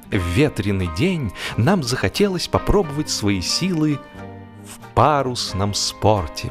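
A man performs a scripted humorous story in a medium-pitched voice. His delivery is theatrical, with deliberate pauses and lingering words. Music plays softly behind him. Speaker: narrator of a humorous audiobook. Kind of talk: expressive scripted narration of a comic story.

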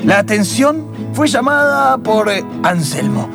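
A man's voice: high voice, big pitch swings, vocal fry, over music, full character voice. A man playing a solemn, suspenseful narrator in an improvised comic radio play. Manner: deliberate, dramatic, suspenseful. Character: solemn storybook narrator of absurd adventures.

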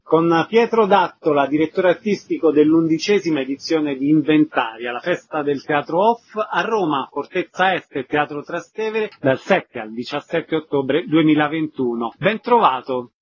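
A man's voice: fairly high pitch, animated, moderate pace, few pauses, faint music behind. A man presenting a radio interview as the host. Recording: muffled, band-limited radio interview audio.

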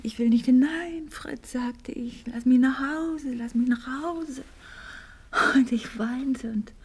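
An actress playing a wistful, high-strung lady of a wealthy household in a German radio play, speaking lyrically and haltingly, often hushed. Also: husky, heavily acted. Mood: anguished. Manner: theatrical, lyrical, halting, often dropping to a hush.

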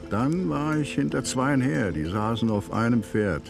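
Boastful frontier gunman, swinging pitch. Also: animated, a little slow, gravelly, music in the background. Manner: boastful, colloquial storytelling. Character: rough male frontier Indian hunter spinning war stories. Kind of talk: German radio drama acting.

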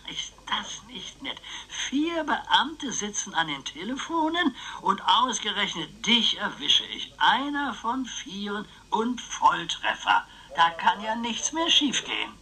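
An eccentric, scheming old uncle in his eighties for radio drama. His voice is very bright and on the high side, swinging widely as he chats theatrically and playfully, stretching out his phrases. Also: big pitch swings, breathy edge, full character voice, poor audio. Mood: gleeful, mysterious. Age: 80s. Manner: theatrical, chatty, drawn-out phrases. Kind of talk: German radio crime drama acting.

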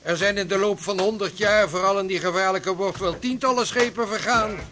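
An actor playing a pious, authoritative leader of stranded sailors in a radio drama, speaking solemnly and deliberately. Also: male voice, sits high, crowd noise, lightly acted. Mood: wry, reflective.

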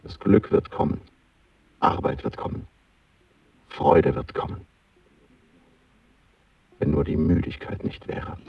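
An actor playing a weary, idealistic artist speaking his introspective inner diary in a literary radio play, in measured poetic phrases. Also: very deep voice, vocal fry, far from the mic, muffled recording, heavily acted.

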